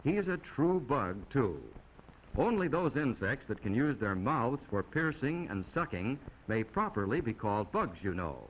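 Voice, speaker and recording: A man's voice: mid-range voice, big pitch swings, measured pace. An American man narrating a 1950s classroom film in a folksy, instructive way with chatty asides to young viewers, on an old film soundtrack. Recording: dull, noisy 1950 film soundtrack.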